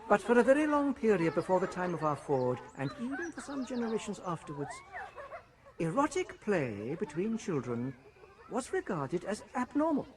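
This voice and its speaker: A man's voice: high voice, very animated, moderate pace, a crowd in the background. An actor playing a pompous male director in a radio drama, lecturing a group of students. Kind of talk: BBC radio drama acting.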